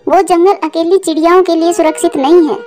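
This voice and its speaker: A woman's voice: very high voice, fairly even intonation, brisk, darkish tone, soft background music. A woman voicing an anxious, devoted young widowed mother bird in a cartoon, with an earnest, pleading way of talking. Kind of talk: Hindi moral-story cartoon dialogue.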